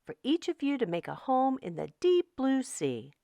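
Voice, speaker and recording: A woman voicing a gentle mother fish in a children's storybook, with a measured, motherly delivery. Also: high-pitched, wildly swooping pitch, gravelly, dry recording, pitched above the performer's own voice, full character voice. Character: gentle mother fish sending off her young.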